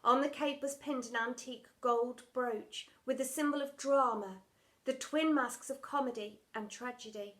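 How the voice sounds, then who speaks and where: A woman's voice: sits high, fairly even intonation, moderate pace. A woman narrating a children's storybook, reading scripted text as a primary school storyteller.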